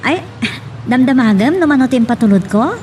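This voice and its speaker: A woman acting a caring middle-aged mother who works abroad, speaking with an Ilocano accent in a drawn-out, affectionate way in an audio drama. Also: sits high, animated, a little mellow. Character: caring mother working abroad as a maid, phoning home. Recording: distant mic with faint noise.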